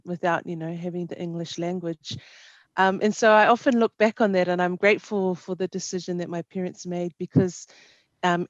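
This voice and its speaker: A young woman, a panel guest answering thoughtfully, with many pauses and ums, over a headset on a video call. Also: fairly low pitch, no room sound. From New Zealand. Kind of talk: casual interview answers. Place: small indoor room. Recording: dry, dull video call audio.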